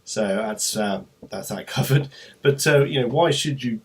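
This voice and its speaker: A man's voice: medium pitch, measured pace, husky. A man presenting an informal, unscripted company explainer vlog, talking in a hesitant, rambling way with trailing asides.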